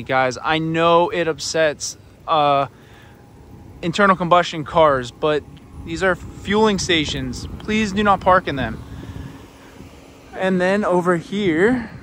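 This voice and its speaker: A man's voice: fairly high pitch, fairly bright tone, slight background noise. A young man in his twenties casually narrating a road trip vlog, explaining things as he films from the car and outdoors. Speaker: vlogger. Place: inside a car at night, then outdoors at a charging station in the rain.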